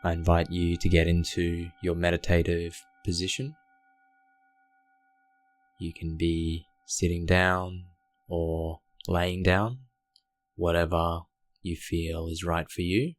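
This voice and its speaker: A man guiding a meditation in a gentle, unhurried way, speaking in short phrases with long pauses. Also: deep voice, flat delivery, no echo. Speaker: meditation guide. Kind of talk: guided meditation narration.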